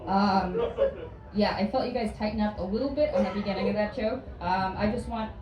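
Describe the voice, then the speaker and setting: A young woman from Florida doing a hesitant, deadpan stand-up set, full of ums and likes. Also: medium pitch, brisk, highs cut off. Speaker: stand-up comedian. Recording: distant, echoey club with noise.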